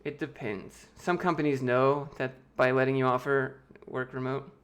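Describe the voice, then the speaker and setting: A man's voice: mid-range voice, little pitch movement, moderate pace, a bit bright. American man casually answering livestream chat. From United States. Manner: loose, joking, reads chat questions aloud and answers offhand. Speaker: YouTube host answering chat.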